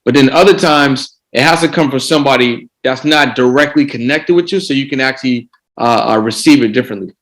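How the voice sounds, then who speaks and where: A man's voice: mid-range voice, brisk, fairly bright tone, rough recording. Man coaching, repeats himself. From Boston. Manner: repeats words while gathering thoughts. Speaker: guest coach. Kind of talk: casual podcast interview.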